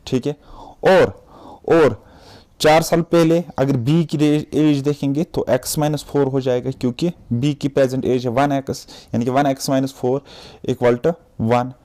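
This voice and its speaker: A man's voice: mid-range voice, steady tone, moderate pace, dark tone. Patient Kashmiri man teaching math. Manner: patient, repetitive explaining, often checking understanding. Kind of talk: exam-prep math lesson.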